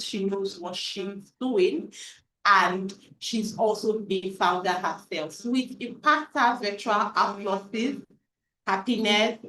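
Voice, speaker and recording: A woman's voice: medium pitch, fairly bright tone, reverberant, low-quality recording. Upbeat woman moderating an online session. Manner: upbeat, repeats "right?" to the audience. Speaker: webinar moderator. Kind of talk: hosting a live online session. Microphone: video call.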